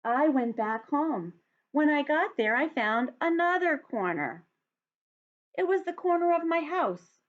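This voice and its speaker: A woman voicing a friendly, patient male frog who tells stories in a children's storybook, with measured, expressive delivery. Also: high-pitched, pitched above the performer's own voice. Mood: wistful, storytelling. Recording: dull, lo-fi home recording.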